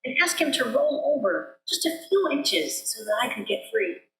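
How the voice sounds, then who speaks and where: A woman plays a desperate little storybook mouse, a polite male with a high, swooping voice. He pleads and exclaims, and the sound rings in a roomy sanctuary. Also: big pitch swings, moderate pace, fairly bright tone. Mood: pleading.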